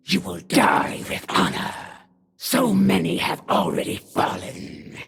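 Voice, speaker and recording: A woman voicing a proud, honor-bound alien female assassin for a video game, speaking in a formal, stately way with a gravelly, creaky edge. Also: fairly low pitch, a bit bright, full character voice. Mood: cold resolve. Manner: formal, archaic, stately and deliberate. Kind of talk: video game villain voice lines.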